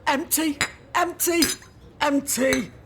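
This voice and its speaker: A woman's voice: sits high, steady tone, takes it slowly, noisy background. An actress playing a brusque, exasperated village pub landlady in a comedy audio drama, blunt and bossy.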